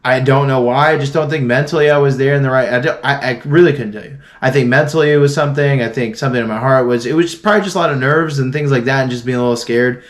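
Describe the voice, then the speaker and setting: A man's voice: medium pitch, quick pace, few pauses. Earnest young man rambling to camera. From Florida. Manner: earnest, rambling, frequent restarts and fillers. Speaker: vlogger. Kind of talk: casual motivational talk to camera.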